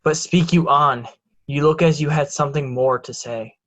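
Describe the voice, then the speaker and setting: An actor playing a dying male villain, a schemer turned repentant, in a halting Shakespearean stage reading. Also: mid-range voice, fairly bright tone, lightly acted. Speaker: amateur actor in a reader's theatre.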